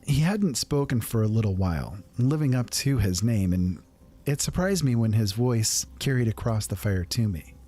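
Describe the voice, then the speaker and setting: A man with a lowish, mellow voice narrates a fantasy tale in the first person. His telling is calm, unhurried and conversational. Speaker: storyteller.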